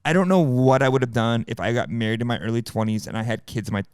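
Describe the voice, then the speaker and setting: A man's voice: mid-range voice, quick pace, clear, resonant tone, no echo, studio quality. A man in his forties co-hosting a podcast, chatting casually in a blunt, joking way and swearing freely. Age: 40s. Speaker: podcast co-host. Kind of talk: casual chat.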